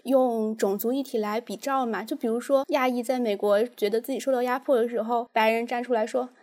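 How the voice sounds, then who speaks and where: A woman's voice: a little high, steady tone, brisk, darkish tone. A woman co-hosting a podcast, talking earnestly and with firm opinions in a casual panel discussion. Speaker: podcast co-host.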